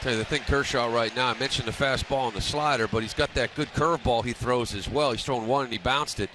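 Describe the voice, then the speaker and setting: A man giving live radio color commentary on baseball, breaking down pitching in a conversational, opinionated way. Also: fast, sharp tone. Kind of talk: live sports radio analysis. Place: stadium broadcast booth. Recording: some background ballpark noise.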